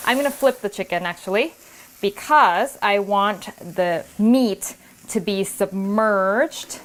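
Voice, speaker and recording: A woman's voice: medium pitch, takes it slowly. A woman hosting a cooking video, chatting casually and encouragingly as she cooks at the stove in a kitchen. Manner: chatty, encouraging, thinking aloud while cooking. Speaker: cooking show host. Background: food sizzling in a pan.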